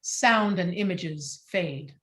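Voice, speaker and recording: A woman reading stage directions as scripted narration in a measured, descriptive way. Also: fairly low pitch, fairly bright tone.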